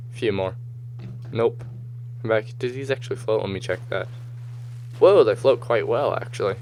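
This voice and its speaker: Male gamer, low and slightly hoarse, casual thinking-aloud commentary recorded over game footage. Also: a little hiss or hum. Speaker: gamer, host of a gameplay video series.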